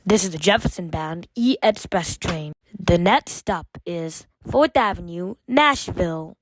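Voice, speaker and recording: A woman reading scripted train announcements as an automated announcer, in measured, formulaic phrases with separate segments. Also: lowish voice, animated, slight background noise.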